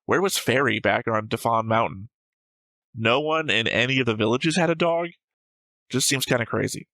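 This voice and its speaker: A man's voice: mid-range voice, normal speed, bright, forceful tone, dry recording. A man co-hosting a casual discussion show, going through notes in a dry, analytical way. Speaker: reaction show co-host.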